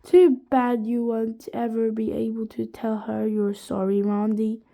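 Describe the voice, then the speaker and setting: A woman's voice: a little high, level tone, a little slow, creaky, dark tone. A woman voicing a sly, mean male schoolteacher who gloats and mocks. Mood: gloating. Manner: gloating, mocking.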